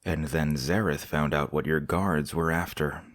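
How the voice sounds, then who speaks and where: Man acting as courteous foreign prince, extremely deep, dry close sound, measured, formal, audio drama. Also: steady tone, no echo. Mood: grave, measured. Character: courteous, composed visiting foreign prince, a candid friend.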